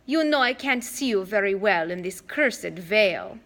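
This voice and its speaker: A woman voicing a soothing old female monster who poses as a kindly grandmother, with a slow, purring, coaxing manner, in a storybook reading. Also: medium pitch, lively intonation, fairly bright tone. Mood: sweetly polite.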